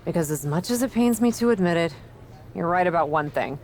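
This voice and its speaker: Cocky wrestler lady in charge, game cutscene, super animated n sarcastic, some noisy ambience behind. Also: on the low side, a little fast. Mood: resolute. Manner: smug, sardonic, theatrical. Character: brash, vain young woman wrestler turned general manager. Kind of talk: scripted video game cutscene acting.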